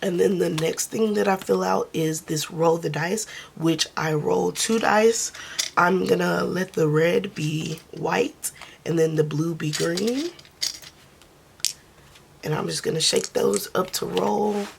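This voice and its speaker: A woman who is losing her voice speaks softly and casually, counting money aloud in a budgeting vlog. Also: slow pace, vocal fry, slight background noise. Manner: soft, low-key, counting under her breath. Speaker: budgeting vlogger.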